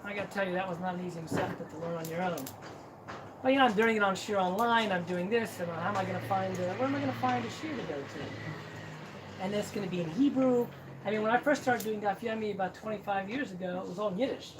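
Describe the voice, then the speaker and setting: A man's voice: sits high, quick pace. Joking man telling a story. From Cincinnati, Ohio, US. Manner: self-deprecating, joking, frequent restarts. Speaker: speaker at a celebration. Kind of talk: humorous personal storytelling. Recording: distant mic, noisy hall.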